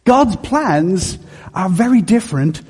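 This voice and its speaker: Irish pastor, dublin accent gone a bit soft, husky and highish voice, chatty jokey sermon. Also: male voice, slow pace. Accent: Dublin Irish, softened by years in England. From Ireland. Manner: conversational, jokey, animated storytelling. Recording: echoey hall.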